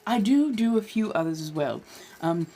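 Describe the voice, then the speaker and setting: A woman's voice: medium pitch, animated, moderate pace, mellow, soft background music. A woman from California chatting casually as a livestream host, putting on a posh colonial American accent with affected, genteel phrasing. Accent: put-on posh colonial American. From California, United States. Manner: affected genteel phrasing, addresses listeners as dears and darlings.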